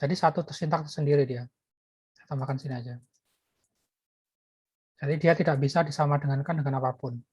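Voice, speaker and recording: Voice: mid-range voice, steady tone, brisk, pauses often, darkish tone, husky, no echo. A lecturer explaining programming while typing code, thinking aloud with many fillers and restarts. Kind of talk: live coding lecture.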